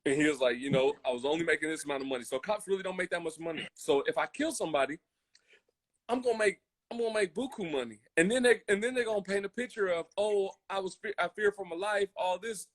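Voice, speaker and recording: A man with a high-pitched voice and an American accent talks casually on a podcast. He is emphatic and impassioned, repeating phrases for weight and voicing imagined quotes. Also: fast talker. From military family, grew up in Germany, Colorado and Hawaii. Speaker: podcast co-host.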